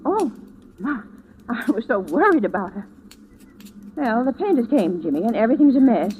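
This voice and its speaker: A woman's voice: a little high, sing-song, moderate pace. An actress playing a chatty, nosy middle-aged neighbor lady who fusses, in a 1940s radio drama. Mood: fretful. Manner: chatty, fussy. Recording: tinny, noisy old radio recording.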